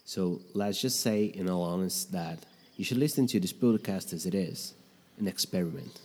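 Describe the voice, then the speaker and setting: A man whose first language is Portuguese, hosting his own podcast from his bedroom with a reflective, measured scripted narration. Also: low-pitched, warm tone, husky, long reverb tail. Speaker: podcast host. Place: bedroom in a noisy neighborhood.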